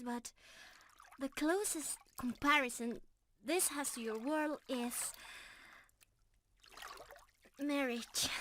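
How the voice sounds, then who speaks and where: A sweet, adoring young mermaid, played by a woman, speaks slowly in a high, bright voice. She is openly emotional and affectionate, as a lover in an intimate ASMR romance roleplay. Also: slight background noise. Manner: affectionate, breathy sighs and giggles, emotional.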